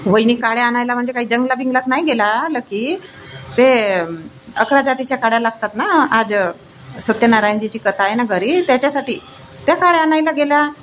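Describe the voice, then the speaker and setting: A woman playing an outspoken, practical village sister-in-law in an animated family comedy. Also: a little high, brisk, a little hiss or hum, lightly acted. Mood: explaining.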